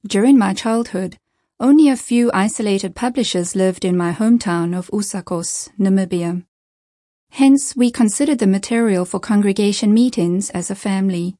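A woman narrates a first-person life story in a calm, measured and earnest read. Her voice is mellow and warm, set in a mid range for a woman. The sound is close and dry, with no room around it. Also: mid-range voice, dry recording. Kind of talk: scripted narration.

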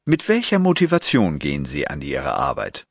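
A man reading a magazine article aloud in a measured, scripted way and posing interview questions. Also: extremely low pitch, no echo, studio quality. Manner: measured, even, clear read. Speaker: narrator and interviewer.

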